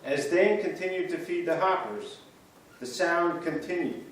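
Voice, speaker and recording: A man's voice: on the high side, animated, a little slow. A man reading a church history script aloud in reader's theater, telling the stories with a storyteller's build-up. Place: large church sanctuary. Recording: echoey church from far off.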